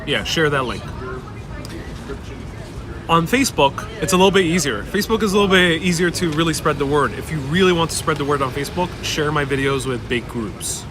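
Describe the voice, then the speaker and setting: A man's voice: a little high, lively intonation, fairly quick, music in the background. A young man whose first language is Spanish, hosting a casual livestream and reading viewer questions aloud as he chats outdoors. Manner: reads viewer questions aloud and rambles warmly. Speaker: livestream host. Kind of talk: casual live-stream chat. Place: outdoors on a busy city street. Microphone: wireless clip-on mic. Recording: outdoor street with clear crowd noise. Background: street crowd and passing traffic.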